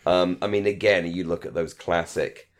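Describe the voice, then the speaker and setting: A man's voice: low-pitched, steady tone, normal speed, slight background noise. A man with a British accent in casual discussion, hesitant and chatty, often circling back to rephrase himself. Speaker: podcast co-host. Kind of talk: casual chat, discussion of a radio comedy.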